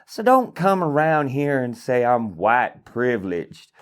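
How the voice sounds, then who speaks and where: A man's voice: medium pitch, big pitch swings, measured pace, clean tone. A man playing an angry hillbilly, delivering an indignant, profane, rambling comedic rant. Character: angry rural hillbilly man ranting about politics. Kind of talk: satirical comedic monologue.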